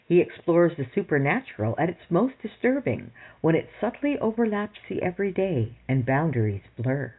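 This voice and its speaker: A woman's voice: on the low side, slightly rough. A woman hosting a podcast, reading scripted narration. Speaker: podcast host. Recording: slightly dull audio with faint music. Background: faint music.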